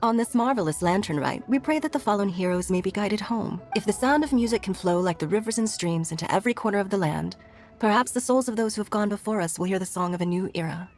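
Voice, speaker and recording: Woman voicing a poised, posh-mannered noble lady for a game, formal and courteous, medium pitch, faint music. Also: lively intonation, fast, close to an everyday voice. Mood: solemn, reflective. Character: elegant, courteous, authoritative stateswoman, gracious and poised. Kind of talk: video game character acting. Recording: soft music behind the voice.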